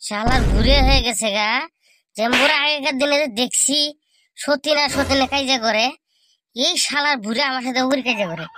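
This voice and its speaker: High-pitched whiny cartoon grandson. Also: male voice. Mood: exasperated, grumbling. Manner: complaining, slangy, mouthy. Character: whiny, cheeky broke young grandson, single and grumbling. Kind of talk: amateur comic cartoon dubbing. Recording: loud music behind the voice.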